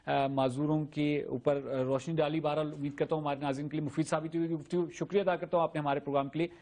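A man's voice: a little high, flat delivery, fast talker, a little mellow. A man hosting a TV talk show from a studio, in formal, courteous phrasing. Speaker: TV show host.

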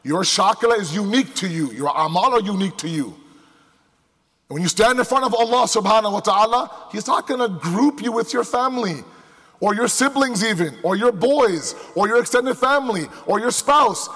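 High-voiced male preacher in a reverberant hall. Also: expressive, moderate pace, fairly bright tone, breathy edge. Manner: emphatic, with rhetorical questions and repetition. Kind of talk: sermon to a live congregation. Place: large indoor hall before a crowd. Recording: echoey hall with long reverb.

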